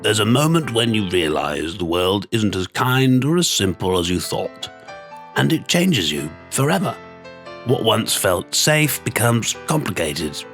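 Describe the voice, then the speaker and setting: A man's voice: lowish voice, a bit bright. A man playing a sceptical, world-weary storybook character in an audio drama, speaking emphatically and reflectively.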